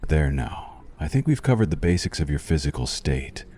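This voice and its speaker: A man playing a suave, possessive vampire doctor in an ASMR roleplay, speaking formally, with teasing and quiet menace. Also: rumbling low, big pitch swings, moderate pace, heavily acted. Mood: clinical, teasing. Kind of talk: ASMR roleplay, scripted monologue to the listener.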